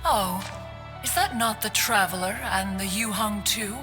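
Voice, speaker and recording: A woman's voice: medium pitch, measured pace, lightly acted. A woman plays an ancient haughty crane spirit, formal and archaic, who refers to herself as one. The voice rings with long hall reverb. Game music sits behind her. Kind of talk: game cutscene voice acting.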